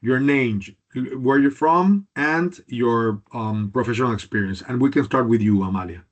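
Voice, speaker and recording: A man leads an online business module over a video call, stumbling and restarting his phrases as he moves through the roll. His voice sits in a middle range. The call audio is dull and muffled. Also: mid-range voice. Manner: halting, repeats words, drops into Spanish and Italian. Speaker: instructor. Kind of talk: online class introductions.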